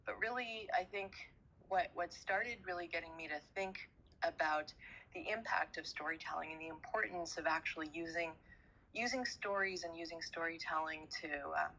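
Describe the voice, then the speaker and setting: A woman's voice: on the low side, moderate pace, sharp tone, low-quality recording. A woman guest from France answering interview questions, hesitating often with many fillers and repeated words.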